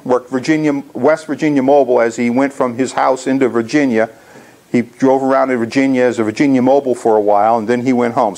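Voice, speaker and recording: A man's voice: medium pitch, vocal fry, darkish tone. An American man in his sixties explaining things casually as he presents slides to a club in a lecture hall. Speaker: contest organizer presenting to a club.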